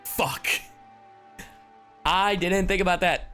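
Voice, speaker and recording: A man's voice: sits high, fairly even intonation, slow pace, fairly bright tone, soft background music. A man commenting casually on a game during a livestream, informal and reactive, with some swearing. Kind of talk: casual gaming stream commentary.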